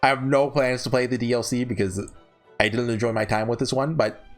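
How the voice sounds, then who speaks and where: A man's voice: mid-range voice, fairly even intonation, brisk, faint music behind. A man talking casually off the cuff as a YouTuber giving reviews, chatty, rambling and opinionated. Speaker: YouTuber host ranking visual novels.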